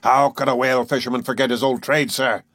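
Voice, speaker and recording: A man voicing a blunt, boisterous male harpooner who talks loud and boastful. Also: mid-range voice, little pitch movement, a little fast, no echo, clean studio sound, lightly acted.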